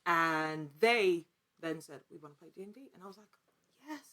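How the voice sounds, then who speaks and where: A woman talking casually as an interview guest, telling her story in an animated, chatty way. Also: fairly low pitch, normal speed. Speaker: podcast guest, actor.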